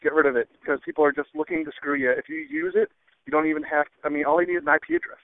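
Fast-talking male caller on narrow phone. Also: a little high, sing-song, vocal fry, dry recording. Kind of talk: casual warning rant. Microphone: telephone. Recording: dull, dry, narrow phone line.

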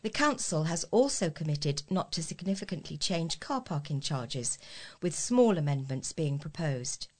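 Low-pitched woman reading local news. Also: big pitch swings, moderate pace, a little mellow. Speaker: volunteer newsreader. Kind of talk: scripted local news reading for a talking newspaper.

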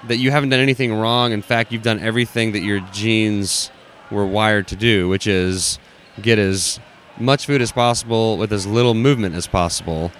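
Podcast host, man, lowish voice, playful and rambling, faint expo-floor ambience. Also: fairly even intonation, moderate pace, fairly bright tone, a little hiss or hum. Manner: rambling, playful, heavy use of "like". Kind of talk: casual interview chat.

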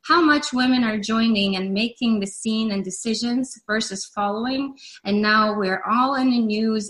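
A woman's voice: a little high, fairly bright tone, poor audio. A woman moderating an online panel discussion, enthusiastic and repeating her words of praise.

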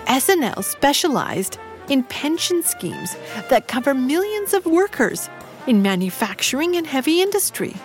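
An actress playing a genteel, naive, soft-hearted lady in an audio drama, speaking in an expressive, proper, earnest manner. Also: high-pitched, moderate pace, faint music behind. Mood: concerned, reading aloud.

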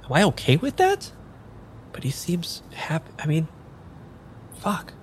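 A man's voice: a little high, wildly swooping pitch, unhurried, a little hiss or hum. A man in an improvised audio drama playing a frantic, foul-mouthed amnesiac who stammers and mutters as he works through tarot cards. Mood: overwhelmed. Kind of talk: improvised audio drama, halting naturalistic delivery.

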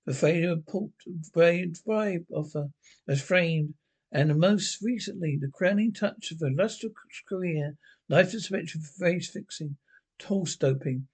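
A sly, smug inner voice that taunts and drags out its words, as a man puts it on. It sounds knowing and mocking, with a fairly high voice. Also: a little slow, a little mellow, slightly rough.

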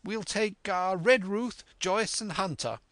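A man voicing an excitable, blustering old country squire in an audiobook, eager and boastful. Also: medium pitch, slow pace, creaky, dry recording, full character voice. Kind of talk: audiobook character voice.

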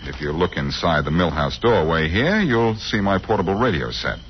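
An actor playing a wry, cool-headed amateur detective in a 1940s radio drama, on a muffled, noisy old recording. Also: male voice, low voice, big pitch swings, normal speed. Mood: wry, confident. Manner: wry, sardonic.

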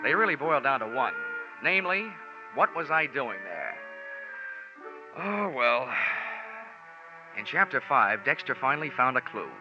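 A man's voice: mid-range voice, very animated, unhurried, pauses often. An actor playing a wry, flippant male insurance investigator in 1940s radio detective style, speaking with a sardonic manner. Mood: wry narration. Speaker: lead actor and narrator. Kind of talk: 1940s radio detective acting, wry first-person narration. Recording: dull vintage 1940s radio recording, faint music.

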